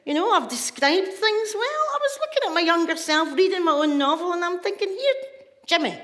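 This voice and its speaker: A Scottish woman voicing a boastful male taxi driver who is proud of his old novel, in her own bright voice. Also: very high-pitched, animated, brisk, voice pushed up. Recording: long reverb of a big hall.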